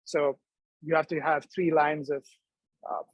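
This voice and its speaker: A young man from Dubai, a startup co-founder, talking quickly and animatedly as the guest in a video-call interview. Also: on the high side, no room sound, lo-fi sound. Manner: quick, animated, laughs between thoughts. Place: indoor room.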